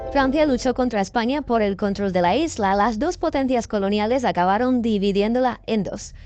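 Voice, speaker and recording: A woman reading a scripted news documentary narration in a clear, measured way. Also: mid-range voice, a little mellow, a little hiss or hum.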